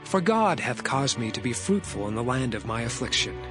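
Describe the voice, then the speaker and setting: A man's voice: mid-range voice, big pitch swings, moderate pace, over music, natural voice. A man voicing a solemn young governor of ancient Egypt, declaiming in a dramatized Bible audio story. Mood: solemn, thankful. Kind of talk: dramatized scripture reading.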